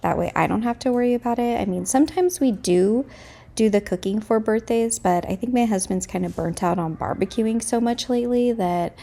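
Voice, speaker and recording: A woman in her thirties, a mother vlogging at home, chatting candidly to the camera, her voice a bit raspy and stuffed up. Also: on the high side, a little fast, mellow. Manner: candid and chatty, thinking aloud. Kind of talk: casual chat to camera.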